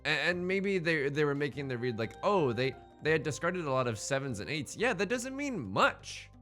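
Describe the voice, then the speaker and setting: A man with a bright, forceful voice gives casual game commentary as a host. His pitch swings widely as he rambles, repeats words and drops in joking asides, with faint music behind him. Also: sing-song, normal speed. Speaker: host of a gaming Let's Play series.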